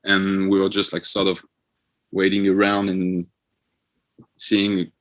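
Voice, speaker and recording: A man's voice: deep voice, completely flat delivery, slow pace, fairly bright tone, low-quality recording. A man from France with a French accent, a band member talking casually as an interview guest.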